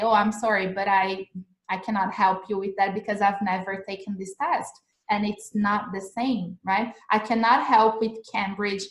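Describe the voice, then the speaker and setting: A woman's voice: medium pitch, reverberant, low-quality recording. Chatty Brazilian woman teaching English. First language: Portuguese. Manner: chatty, frequent "right?" and "like" checks. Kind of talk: casual livestream lesson. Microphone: phone livestream.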